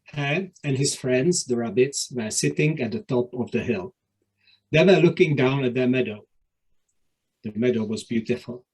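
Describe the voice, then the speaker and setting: A man with a Czech accent, an author and illustrator, reading his picture book aloud in gentle, unhurried storytelling on a video call. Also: medium pitch, husky, low-quality recording. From Czech Republic.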